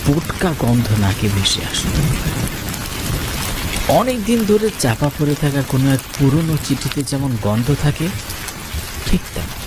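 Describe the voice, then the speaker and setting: A man's voice: mid-range voice, natural voice. A man playing an earnest, kindly village yogurt seller who narrates his own cartoon story in a suspenseful storytelling manner. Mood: puzzled, suspenseful. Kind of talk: first-person cartoon story narration with dialogue. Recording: loud rain and thunder noise.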